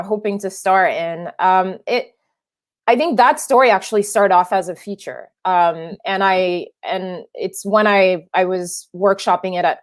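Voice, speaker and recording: A young adult woman filmmaker whose first language is French, answering interview questions thoughtfully, with many restarts and fillers. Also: lowish voice. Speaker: filmmaker guest.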